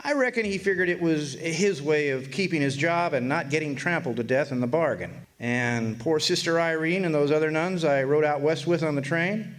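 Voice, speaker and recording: Man playing a wry, higher-voiced Old West storyteller. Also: lightly acted. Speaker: narrator in radio drama. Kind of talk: folksy first-person dramatic narration.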